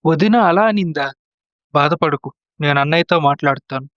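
A man's voice: a little high, moderate pace, a bit bright, dry recording, lo-fi sound, natural voice. A man playing a trusting, easily swayed younger brother and husband in a moral story drama, earnest and questioning. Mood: concerned.

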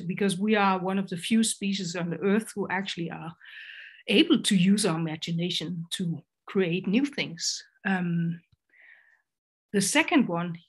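A woman's voice: fairly low pitch, husky. A middle-aged woman from Denmark with a Danish accent, giving a video-call lecture on design, hesitant with many fillers and restarts. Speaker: graphic designer and educator. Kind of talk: online presentation lecture.

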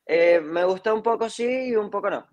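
A man's voice: sits high, moderate pace, darkish tone. A man in his twenties with a Venezuelan accent and a slight Chilean lilt, chatting casually and reflectively over a video call. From Caracas, Venezuela. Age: 20s. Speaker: interview guest, singer-songwriter. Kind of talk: casual chat. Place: indoor room on a video call.

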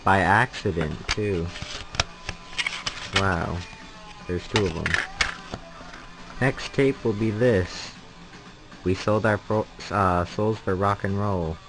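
A man's voice: sits low, slow, pauses often, over loud music, poor audio. A young man giving a casual, rambling video diary in a hesitant way. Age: teenager or young adult. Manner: hesitant, rambling delivery with flat tone. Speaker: vlogger. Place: small bedroom at home, indoors. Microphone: camera held at arm's length.

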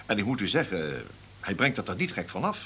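A defensive subordinate in a radio play. The voice is husky and very lively in pitch. He explains himself deferentially, with some hesitation. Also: brisk, full character voice. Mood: hesitant, defensive. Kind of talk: radio drama acting.

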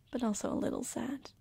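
A woman voicing a gentle, lovesick succubus sleep paralysis demon in a whispered ASMR roleplay, soft, hesitant and tender, close to a binaural microphone. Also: no echo, natural voice. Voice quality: breathy whisper. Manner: soft, hesitant, tender, with breathy giggles and sighs.

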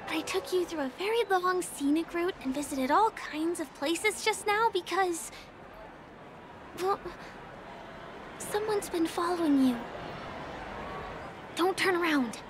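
An actress playing a gentle, earnest, observant young woman performer in a video game. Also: very high voice, lively intonation, a little slow, long pauses. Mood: hushed, serious. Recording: game music under the voice.

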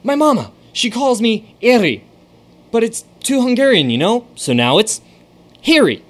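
A man's voice: very high-pitched, sing-song, measured pace, exaggerated voice. A man playing a brash, streetwise young showman who narrates his own story in a radio drama. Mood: excited. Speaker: narrator and lead.

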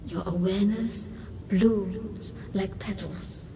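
A woman guiding a breathing meditation in a soothing, unhurried way, as scripted narration. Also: mid-range voice, fairly even intonation, background noise.

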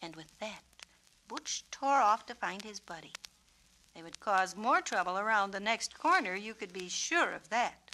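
A woman reading a children's storybook as narrator, with a measured, expressive delivery. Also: mid-range voice, slight background noise, poor audio. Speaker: author and narrator.

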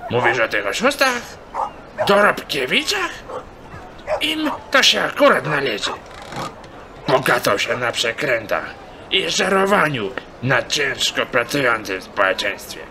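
A man's voice: mid-range voice, sing-song, measured pace, noisy background. An actor playing a stubborn, pious and grumbling old man in an audio drama. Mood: smug. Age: older.

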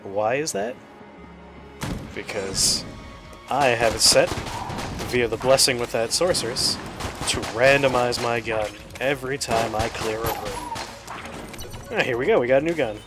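A man's voice: medium pitch, unhurried, long pauses. A man hosting a casual let's-play, talking in a playful, self-deprecating way. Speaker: show host, gamer. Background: music and game gunfire.

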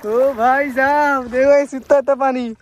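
A young man filming a casual outdoor vlog at a village pond with a handheld phone, talking excitedly and calling out to friends. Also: very high-pitched, unhurried. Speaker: vlogger.

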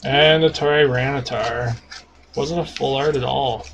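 A man's voice: mid-range voice, steady tone, unhurried, highs cut off. A man in his thirties from Canada, co-hosting a card-opening video at home, joking and reading out card names. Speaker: card-opening channel co-host. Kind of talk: casual chat while opening cards. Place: home room with cards on a table. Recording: noisy home camera recording.

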